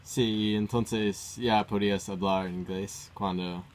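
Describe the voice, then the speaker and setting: Lowish-voiced male interviewer. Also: fairly even intonation, slow pace, a little hiss or hum. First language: English. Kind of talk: simple prepared interview questions.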